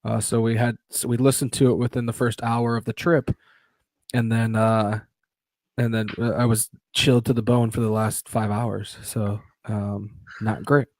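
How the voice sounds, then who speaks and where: A young man co-hosting a podcast live stream in casual chat, excitable, swearing freely and restarting his sentences. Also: fairly low pitch, normal speed, no room sound. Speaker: podcast co-host.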